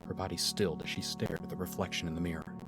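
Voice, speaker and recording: A man's voice: deep voice, level tone, fast talker. A man reading scripted, suspenseful narration for a fantasy audio drama. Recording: loud music over the voice. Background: loud instrumental score.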